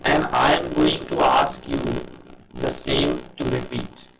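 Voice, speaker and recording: A man's voice: rumbling low, monotone, slow, creaky. A man in his fifties from India with an Indian accent, giving a patient one-on-one English lesson over a video call. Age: 50s. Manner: patient, repeats and checks understanding. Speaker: English teacher. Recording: echoey, noisy video call.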